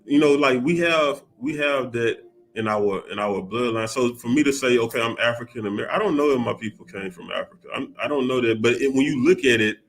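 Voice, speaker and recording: A man hosting a casual podcast chat, talking in a relaxed, loose way with frequent restarts and filler phrases. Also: medium pitch, fairly quick. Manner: laid-back, many restarts and "you know what I'm saying". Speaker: podcast host.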